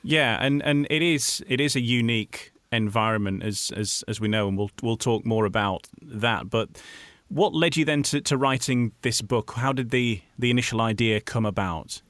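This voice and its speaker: A man hosting a radio interview, speaking in a halting way and repeating words as he forms his questions. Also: medium pitch, a bit bright, dry recording.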